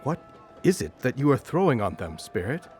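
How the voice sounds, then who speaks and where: A man's voice: mid-range voice, very animated, normal speed, a little mellow, faint music behind, natural voice. A man playing a humbled old miser in an audio drama, questioning and earnest. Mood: curious.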